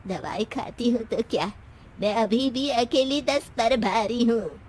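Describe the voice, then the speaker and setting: Woman playing a high-pitched, slow-talking, feisty old mother-in-law. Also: a little mellow, slightly rough. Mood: sassy, scolding. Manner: sassy, scolding, boastful. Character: feisty, bossy, fearless elderly mother-in-law. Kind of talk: Hindi animated story dialogue.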